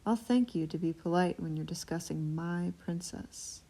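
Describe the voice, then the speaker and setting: A woman reading aloud, voicing a calm, dry-witted female dragon from a storybook with a measured way of speaking. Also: fairly low pitch, gravelly, slightly rough, poor audio.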